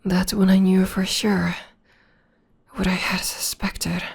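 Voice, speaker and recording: A woman playing a gentle, loving witch girlfriend in an intimate ASMR roleplay, speaking softly and tenderly in a breathy half-whisper. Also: medium pitch, flat delivery, full character voice. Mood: soothing, affectionate. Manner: tender, slow, soothing. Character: gentle, loving witch girlfriend comforting her demon partner.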